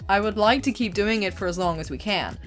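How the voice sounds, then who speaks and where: A woman's voice: mid-range voice, a little fast. Chatty woman over background music. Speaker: co-creator of an online abridged series, answering fan questions. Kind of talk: casual Q&A chat. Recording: music playing behind the voice.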